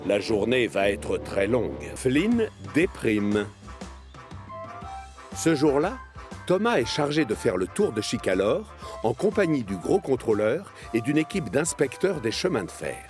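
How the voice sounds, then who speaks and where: Lively male storyteller over music. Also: medium pitch, a little slow. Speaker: narrator. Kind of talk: scripted children's storytelling narration. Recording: music behind the voice.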